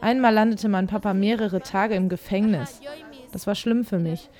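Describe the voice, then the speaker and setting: Female voice-over, mid pitch, quiet plain recounting. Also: normal speed. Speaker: voice-over translator. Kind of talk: voice-over dubbing in first person.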